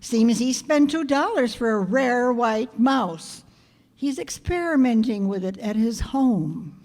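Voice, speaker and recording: A woman's voice: on the high side, lively intonation, takes it slowly, a little mellow. A woman playing a wry, wisecracking schoolteacher with dry, sardonic delivery in old-time radio comedy style. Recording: reverberant hall stage recording.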